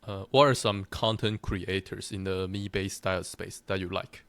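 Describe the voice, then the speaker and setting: Man from taiwan, not english, interviewer, low voice, dry close sound, short affirming remarks. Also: fairly even intonation, moderate pace, fairly bright tone, dry recording. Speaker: interviewer, host. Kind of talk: casual interview questions.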